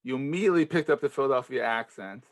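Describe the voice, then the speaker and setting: Male podcast host, mid-pitched, casual interviewer with a close, dry sound. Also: fast, dry recording.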